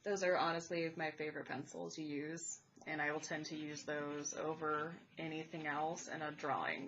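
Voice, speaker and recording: A woman with a congested, slightly raspy voice talks through her art supplies as she draws, thinking out loud. Her voice sits a little low. The home recording sounds dull and muffled, with a faint hiss under it. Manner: chatty, thinking aloud while drawing. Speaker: art vlogger. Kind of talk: casual unboxing and art supply review. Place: home, indoors at a table. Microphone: camera overhead pointed at the paper. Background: furnace and cat noises.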